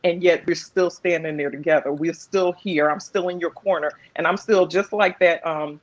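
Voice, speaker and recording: A woman's voice: fairly low pitch, steady tone, normal speed. Earnest woman, dull lo-fi call audio. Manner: earnest and encouraging, with frequent restarts. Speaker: panelist. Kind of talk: casual panel discussion.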